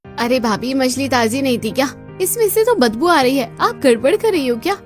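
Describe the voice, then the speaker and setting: A woman's voice: sits high, lively intonation, normal speed. Lady doing a young cartoon nanad, whiny, nagging, suspicious, some music behind. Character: whining, suspicious young sister-in-law. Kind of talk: Hindi cartoon story dubbing.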